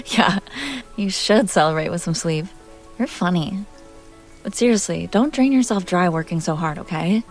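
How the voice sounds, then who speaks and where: A woman's voice: on the low side, animated, measured pace, soft background music. A woman playing a self-assured, playful vampire heiress in an intimate roleplay audio drama, talking directly to the listener. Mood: warm, encouraging.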